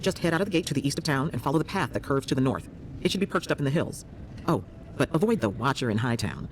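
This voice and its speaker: An actress playing a brisk, caring female healer in a fantasy video game, inquisitive and expressive. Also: extremely low pitch, slight background noise, rough recording. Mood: earnest, advisory.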